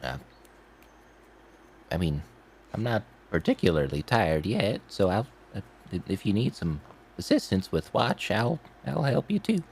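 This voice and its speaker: A man playing a tabletop role-playing game, chatting in character in a halting way. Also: fairly low pitch, sing-song, creaky, a little mellow, slight background noise.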